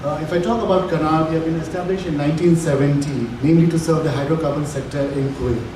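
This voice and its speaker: A man's voice: fairly high pitch, fairly even intonation, fast. Formal man speaking in an echoey hall. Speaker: local partner team leader. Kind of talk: business presentation. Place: hotel conference hall. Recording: echoey hall from far off, noisy.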